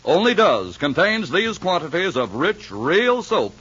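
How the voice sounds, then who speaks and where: A man's voice: on the high side, very animated, measured pace, pushed and bright, husky. A man reading a 1950s radio advertisement as a commercial announcer, in an emphatic, rehearsed style. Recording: muffled old radio recording.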